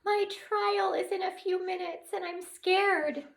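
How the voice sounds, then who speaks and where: A woman reading aloud, voicing a tiny, tearful female fairy with a high-pitched voice that sobs between words. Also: steady tone, takes it slowly, full character voice. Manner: weepy, sobbing between words.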